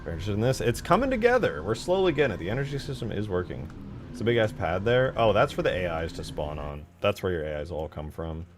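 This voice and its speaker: A man's voice: lowish voice, sing-song, quick pace, background noise. A man commenting casually on his screen as he works on a game mod, thinking aloud in informal, sweary language. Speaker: game modder, channel host. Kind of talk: casual screen-share commentary while working. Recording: music behind the voice. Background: music.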